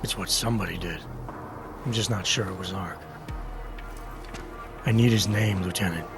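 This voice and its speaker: Low-voiced, composed investigator. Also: male voice, moderate pace, vocal fry, over music. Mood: probing, skeptical. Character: stern, composed male Interpol agent questioning a suspect. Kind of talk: video game dialogue acting.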